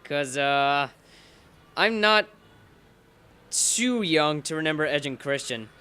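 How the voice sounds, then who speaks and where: A man's voice: fairly high pitch, animated, slow pace, pushed and bright, clear, resonant tone. A young man from Bulgaria, rambling and cursing casually through off-topic commentary while playing a racing game. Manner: rambling and profane, curses at the game. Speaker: let's play commentator.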